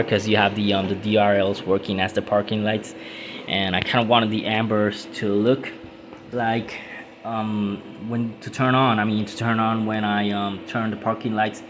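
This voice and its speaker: A young man from Puerto Rico with a Puerto Rican Spanish accent, walking through a car headlight wiring fix in a casual tutorial, pausing often with ums. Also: on the low side, noisy background. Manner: casual, halting, many ums and restarts. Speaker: car modding hobbyist. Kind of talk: hands-on how-to tutorial.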